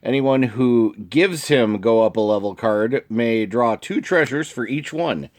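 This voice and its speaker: A man with a sniffly, allergy-affected voice hosts casually, reading card text aloud with informal asides and some throat-clearing. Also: medium pitch, unhurried. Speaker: video host.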